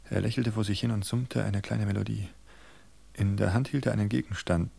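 A man reading a suspense story aloud in a measured, tense narration. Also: fairly low pitch, creaky, a little mellow, slightly rough.

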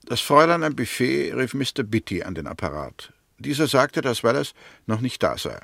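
An actor playing a firm, methodical prosecutor in a 1960s German radio drama, speaking formally and precisely. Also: male voice, mid-range voice, big pitch swings, moderate pace, creaky, fairly bright tone, slightly rough, no echo, full character voice. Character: male prosecutor, methodical, firm, convinced of guilt. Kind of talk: 1960s German radio drama acting, courtroom-style argument.

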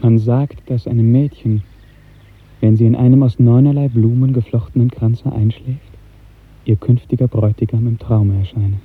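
A man narrating a literary radio drama in an earnest, lyrical, carefully enunciated way, with a soft, husky voice. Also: mid-range voice, fairly even intonation, normal speed. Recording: muffled narrow-band 1950s radio recording.

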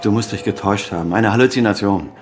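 An actor as a low-voiced, weary professor father. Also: fast, over music, natural voice. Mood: irritated. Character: weary, defensive professor and doctor, father of grown son. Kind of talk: German radio crime drama acting.

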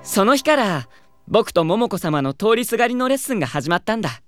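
A woman playing an earnest teenage schoolboy in an anime audio drama, theatrical, moving between hushed reflection and fervent awe. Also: mid-range voice, very animated, fairly quick, soft background music, natural voice. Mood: fervent admiration. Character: earnest, excitable teenage schoolboy, devoted admirer of an idol.

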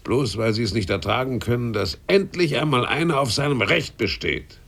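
Gravelly, whining, defiant sailor drifter. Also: male voice, medium pitch, animated, moderate pace, fairly bright tone. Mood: aggrieved, ranting. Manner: aggrieved, cocky, slangy, swinging from grumble to outburst. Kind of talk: radio play acting.

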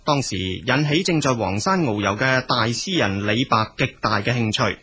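A man's voice: mid-range voice, animated, moderate pace, bright, forceful tone, a little hiss or hum. A man with a Cantonese accent narrating as a storyteller, in a colloquial spoken style.

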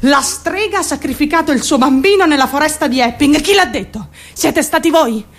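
A woman's voice: high-pitched, a little fast. An actress playing a troubled, defiant teenage girl who confides her story straight to the listener in a theatrical radio monologue. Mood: indignant, accusing. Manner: confiding, addressing listeners directly, mimicking other voices.

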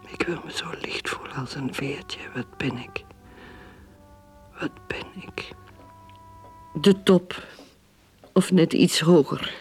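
A woman's voice: low voice, takes it slowly, pauses often, faint music behind. An actress playing a bitter, ambitious middle-aged mother who drinks, in an intense, dramatic radio play style. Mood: whispered brooding. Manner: intense, dramatic, swinging between speech and whispered brooding. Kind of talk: radio drama acting, dialogue and inner monologue.

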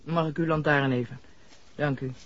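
A woman's voice: low voice, fairly even intonation, moderate pace. An actress playing a composed, authoritative female doctor in a 1960s radio drama. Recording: dull vintage radio drama recording.

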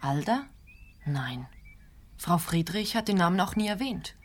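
A woman's voice: lowish voice, expressive, unhurried. A woman playing an anxious, stern clinic nurse in a radio play, matter-of-fact and admonishing. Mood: uneasy.

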